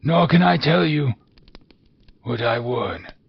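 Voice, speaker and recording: Wailing chained ghost. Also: male voice, lively intonation, slow, vocal fry, husky, heavily acted. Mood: mournful wailing. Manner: high quavering wail, rising to cries. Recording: dull lo-fi recording with faint hiss.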